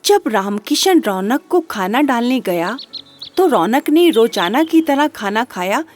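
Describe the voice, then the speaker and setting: A woman's voice: on the high side, very animated, measured pace, a little mellow, soft background music. A woman narrating a children's moral story in a simple storytelling manner.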